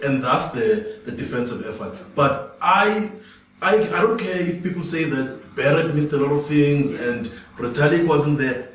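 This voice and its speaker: A man argues his view on a studio sports panel, emphatic and insistent. His voice is mid-pitched and carries an echoey ring from the room. It is heard from a distance over faint noise. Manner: emphatic, forceful opinions. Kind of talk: casual studio sports chat. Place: small studio room, indoors.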